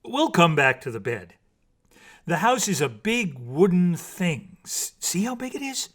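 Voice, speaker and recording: A man playing a hunter in a storybook, a patient teacher explaining things in clear, divided tones. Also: high voice, very animated, unhurried, fairly bright tone, voice pushed up, full character voice.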